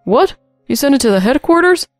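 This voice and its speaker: A woman's voice: mid-range voice, a little fast, a little mellow, no echo, faint music behind, natural voice. A woman voicing a weaselly, defensive male store manager with a blustering, evasive manner in a story. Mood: startled.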